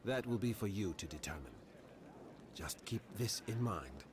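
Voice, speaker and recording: An actor playing a grave, formal man who gives out quests in a fantasy game, with measured, old-fashioned phrasing. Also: lowish voice, expressive, noisy background, close to an everyday voice. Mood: measured, advising. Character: grave, formal man directing a hunt for cultists. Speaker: quest-giving game character. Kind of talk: scripted fantasy game dialogue.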